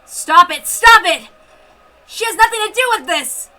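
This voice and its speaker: A woman's voice: very high-pitched, measured pace, pushed and bright, pitched above the performer's own voice, heavily acted. Woman acting an earnest, protective male soldier. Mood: desperate, angry. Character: protective, resolute Japanese soldier, adult man.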